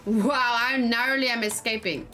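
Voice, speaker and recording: A woman doing a casual let's play, excitable and thinking aloud, often repeating her phrases as she goes. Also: a little high, measured pace, soft background music. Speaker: game streamer, host. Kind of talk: casual let's play chat and live game commentary.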